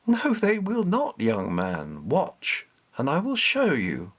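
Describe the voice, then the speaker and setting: A man's voice: fairly high pitch, wildly swooping pitch, slow, a bit bright, breathy edge, pitched above the performer's own voice, heavily acted. A man voicing an imperious, self-assured magical cat goddess in a storybook, speaking formally and condescendingly. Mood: commanding.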